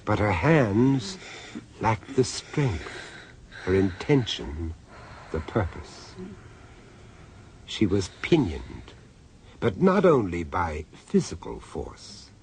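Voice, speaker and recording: A man narrating a horror radio drama in a measured, sly storytelling manner. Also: on the low side, very animated, a little mellow. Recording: faint hiss of old radio tape.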